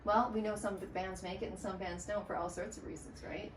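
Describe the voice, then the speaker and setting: A woman's voice: mid-range voice, steady tone, brisk. A woman hosting a podcast interview, chiming in with short encouraging replies. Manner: brief encouraging backchannels and laughs. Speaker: podcast host. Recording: roomy, distant mic with faint noise.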